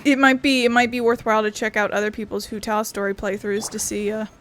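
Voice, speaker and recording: A woman's voice: mid-range voice, little pitch movement, fast, fairly bright tone. A woman giving casual let's-play commentary in a rambling, playful, self-deprecating way. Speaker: gameplay streamer.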